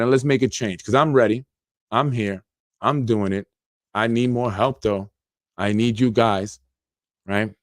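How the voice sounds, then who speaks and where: Man, forties, from yonkers, podcast host, earnest, fairly low voice, dry close sound. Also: no echo. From Yonkers, New York. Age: 40s. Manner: earnest, plainspoken, repeats phrases with many "you know"s. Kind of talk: solo podcast monologue.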